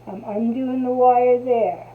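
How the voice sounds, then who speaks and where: A woman's voice: fairly high pitch, fairly even intonation, slow pace. Chatty Jersey woman, tinny sound. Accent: New Jersey. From New Jersey. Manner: chatty, talks to herself while working. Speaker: craft tutorial host. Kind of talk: casual craft demonstration. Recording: tinny, phone-like audio.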